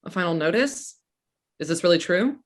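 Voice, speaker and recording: A woman acting a tender, worried wartime mother who pleads haltingly, in an amateur stage reading. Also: lowish voice, lively intonation. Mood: worried pleading. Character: worried young mother and wife in wartime Budapest. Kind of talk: amateur stage play reading over video call.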